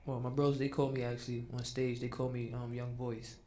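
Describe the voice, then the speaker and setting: A man with a mid-pitched voice talks as a guest rapper on a chat show. His delivery is easygoing and relaxed, and he laughs easily. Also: fairly quick. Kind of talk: casual interview chat.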